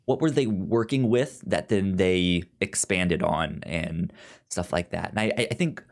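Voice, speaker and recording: A man hosting a podcast in casual chat, with a halting delivery full of stammered restarts. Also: low-pitched, vocal fry. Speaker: podcast host. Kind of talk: casual chat about comics and film.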